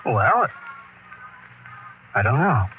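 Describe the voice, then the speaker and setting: A man's voice: mid-range voice, sing-song, very slow. Skeptical space crewman: American, plainspoken, questioning, 1950s radio acting, muffled lo-fi sound with slight noise. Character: plainspoken, skeptical male crewman who survived a plague. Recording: dull, noisy old radio recording.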